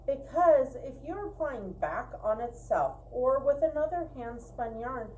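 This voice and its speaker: Woman chatting casually through a craft lesson, mellow voice, chatty and emphatic, some hum and noise behind. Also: sits high, expressive, unhurried, poor audio. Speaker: co-host of a fiber arts livestream. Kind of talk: casual spinning tutorial chat.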